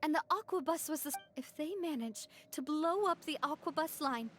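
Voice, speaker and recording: A woman's voice: sits high, expressive, brisk, fairly bright tone, a little hiss or hum. An actress playing a spirited, caring young woman boss in a video game, earnest and decisive. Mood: urgent resolve. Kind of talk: dramatic video game voice acting.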